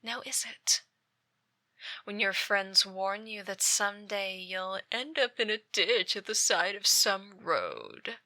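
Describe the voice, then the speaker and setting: A woman playing an obsessive, menacing yandere ex-girlfriend in an ASMR roleplay, breathy and often whispering, with a deliberate, taunting, theatrical delivery. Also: mid-range voice, very animated, sharp tone, no echo, heavily acted. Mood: sinister, threatening. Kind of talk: ASMR roleplay, dramatic monologue. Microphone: close dry microphone.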